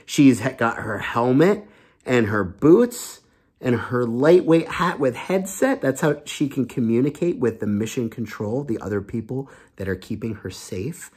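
A man's voice: mid-range voice, expressive, unhurried, darkish tone. A man in his thirties reading a children's book aloud and explaining it simply and expressively as a teacher. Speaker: children's storytime teacher and host. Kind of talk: storybook read-aloud with explanations for kids. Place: small indoor home room.

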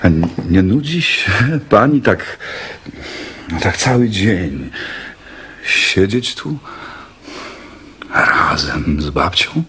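An actor playing a shy, kindly young lodger in a radio drama, halting and stammering as he talks. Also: deep voice, very animated, bright, forceful tone, breathy edge, exaggerated voice. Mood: shy, earnest.

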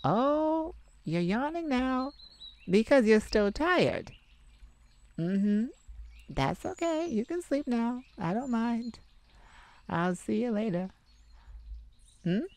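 Woman acting a sweet, slow-talking, tender boyfriend. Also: a little high, very animated, exaggerated voice. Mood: soothing, tender. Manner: tender, gently teasing and coaxing, with sighs. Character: sweet, caring young boyfriend comforting his partner. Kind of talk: intimate ASMR roleplay.

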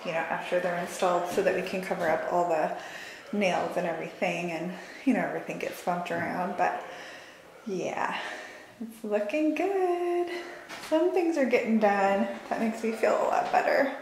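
A woman's voice: fairly low pitch, moderate pace. Upbeat woman vlogging in echoey rooms. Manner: chatty, upbeat. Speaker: home renovation vlogger. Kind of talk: casual vlog tour narration. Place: empty house rooms under renovation, indoors. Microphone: handheld camera held by speaker. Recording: echoey empty rooms, clear background noise.

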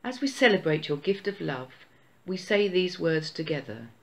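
Gravelly, prayer leader; slow, measured solemn delivery of a church prayer. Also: mid-range voice, expressive. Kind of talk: read-aloud Easter prayer.

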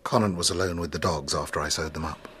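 An actor playing a dry, wary expedition doctor with a husky voice, in a radio drama. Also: male voice, extremely low pitch, steady tone, fast talker, fairly bright tone, a little hiss or hum. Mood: dry cynicism.

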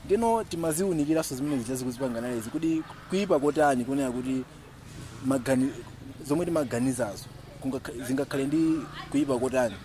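A man's voice: mid-range voice, fast, warm tone, a little hiss or hum. A man in his twenties with a Malawian accent, speaking in an outdoor documentary interview.

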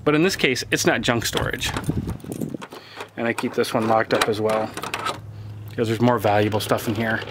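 A man in his forties giving a casual, relaxed walking tour of his homestead, thinking aloud with fillers as he films himself on a handheld camera. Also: fairly low pitch, normal speed, long pauses, background noise. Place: outdoors and in farm buildings. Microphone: handheld camera mic, filming himself.

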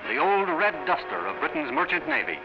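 A man narrates a 1940s wartime film in a fairly high, lively voice. The soundtrack is old and dull, with loud music behind him. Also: a little fast, gravelly. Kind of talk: 1940s propaganda newsreel narration.

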